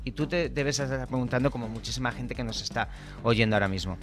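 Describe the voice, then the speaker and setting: A man's voice: mid-range voice, quick pace, soft background music. A man from Spain with a Spanish accent, a guest in a studio interview, explaining things patiently and checking that he is understood. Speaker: guest, communications director. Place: studio table.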